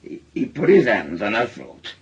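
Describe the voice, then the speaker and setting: An actor playing a middle-aged, weary, blunt working-class father with a Northern English accent, speaking haltingly and heavy-heartedly in a radio drama. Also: fairly low pitch, sing-song, breathy edge, highs cut off, full character voice. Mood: grief-stricken. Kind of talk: naturalistic British radio drama.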